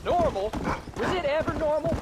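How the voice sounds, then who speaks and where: A very high-voiced, moody teenage boy. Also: lively intonation, normal speed, noisy background. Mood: resentful. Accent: American, rural Western. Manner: questioning, earnest, youthful. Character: moody, eager teenage son of a rancher. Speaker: game character actor. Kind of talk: dramatic video game dialogue. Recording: very loud outdoor horse-ride ambience over dialogue.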